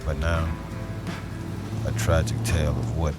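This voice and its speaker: A man playing a brooding, street-smart detective who narrates a noir audio drama in measured, terse, dramatic lines over music. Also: very deep voice, level tone. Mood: brooding, knowing.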